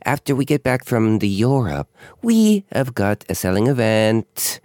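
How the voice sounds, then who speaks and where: A man's voice: on the low side, sing-song, measured pace, a little mellow, dry recording, studio quality. A man hosting a podcast with a scratchy voice, rambling and joking at his own expense. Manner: chatty, joking, self-mocking. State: hoarse, scratchy voice. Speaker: podcast host. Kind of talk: casual rambling monologue.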